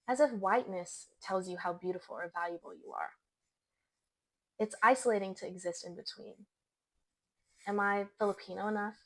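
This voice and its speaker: A young woman reading a scripted personal essay as a student presenter, in an earnest, measured way. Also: medium pitch, creaky, a bit bright. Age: young adult.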